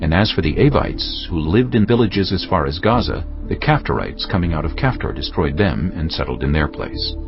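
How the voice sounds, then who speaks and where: Deep, lively male narrator. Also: normal speed, hardly any pauses. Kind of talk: scripted scripture narration. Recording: dull lo-fi audio with music.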